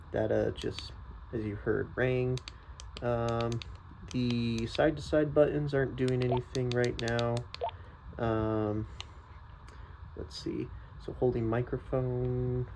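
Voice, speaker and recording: Hesitant man with a creaky voice, slow-paced. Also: mid-range voice, dark tone, slight background noise, rough recording. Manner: hesitant, thinking aloud, tentative. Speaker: product reviewer. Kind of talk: casual unscripted product review.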